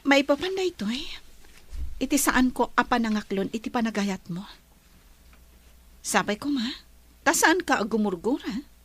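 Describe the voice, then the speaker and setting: An actress with an Ilocano accent playing a gentle young woman in love, speaking softly and earnestly. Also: fairly high pitch, lively intonation, unhurried, pauses often.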